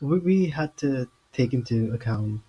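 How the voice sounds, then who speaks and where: An actor playing a fussy, evasive middle-aged government minister in an audio drama, with a pompous, formal manner. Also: male voice, mid-range voice, expressive, unhurried, mellow, slightly rough, highs cut off. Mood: nervous reassurance.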